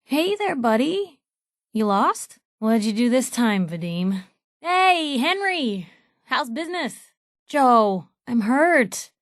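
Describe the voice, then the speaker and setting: A woman voicing a sharp-witted, idealistic young female reporter companion in a video game, wry and conversational. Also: on the high side, very animated, takes it slowly, dry recording, clean studio sound. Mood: sassy.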